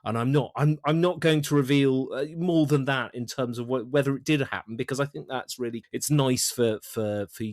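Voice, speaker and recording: A man in a casual interview, recorded dry and close, with a mid-pitched voice. He thinks aloud, doubling back on words in a halting way as he explains his ideas. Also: no room sound. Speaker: writer-director, podcast guest.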